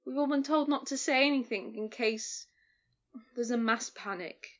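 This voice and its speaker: An actress playing a shaken but firm medical worker burdened by guilt, in a naturalistic audio drama. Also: female voice, fairly high pitch, moderate pace, a bit bright, muffled recording, natural voice. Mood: firm, uneasy.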